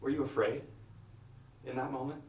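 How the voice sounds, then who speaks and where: Young guy interviewing folks, soft polite voice, roomy echo. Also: mid-range voice, fairly even intonation, normal speed, a little hiss or hum. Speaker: student interviewer. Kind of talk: film dialogue.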